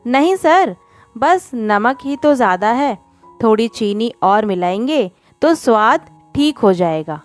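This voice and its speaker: Troubled college boy read by a female storyteller, polite, hesitant, higher-pitched and slow. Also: animated, soft background music. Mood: polite, reassuring. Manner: hesitant, deferential.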